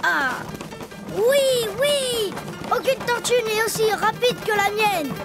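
A performer voicing a lively, imaginative little boy donkey in a children's cartoon, childlike and playful. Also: extremely high pitch, slow, heavily acted. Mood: cheerful. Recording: loud music behind.

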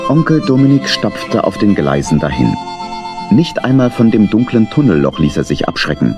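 A man's voice: lowish voice, sing-song, moderate pace, over music. A man narrating a children's story in an audio drama as a scripted storyteller.

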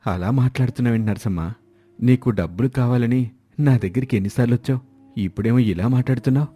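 A man's voice: medium pitch, quick pace, dark tone, husky, lightly acted. A man playing a proud, touchy village husband in an emphatic moral story drama. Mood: hurt, indignant. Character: proud, touchy village husband who gives too freely. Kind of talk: moral story character acting.

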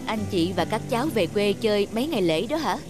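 Genial middle-aged cartoon driver. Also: male voice, high-pitched, animated, over music. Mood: kindly. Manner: genial, unhurried. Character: big, kindly, easygoing middle-aged male driver. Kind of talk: cartoon voice acting.

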